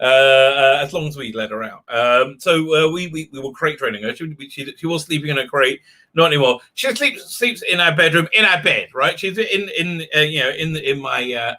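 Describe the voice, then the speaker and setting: Livestream host, man, chatty and rambling, very bright tone. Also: fairly high pitch. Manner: rambling, many fillers and restarts. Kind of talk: casual livestream chat.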